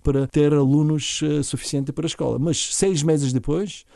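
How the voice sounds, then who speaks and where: A middle-aged man from Bulgaria speaking with a Bulgarian accent, recounting his story in an interview in a halting way, with restarts. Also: a little high, steady tone, gravelly, dark tone, no echo, clean studio sound. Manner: halting, with frequent restarts and fillers. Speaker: interview guest, violinist and orchestra founder.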